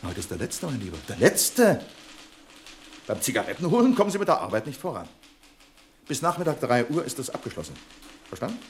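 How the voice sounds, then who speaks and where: An actor playing a pedantic, sarcastic office boss in a German radio drama, with pompous, exaggeratedly formal politeness. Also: male voice, medium pitch, wildly swooping pitch, a little fast, pauses often, a little hiss or hum. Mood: bossy, scolding. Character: pedantic, sarcastic male office boss, nagging about punctuality.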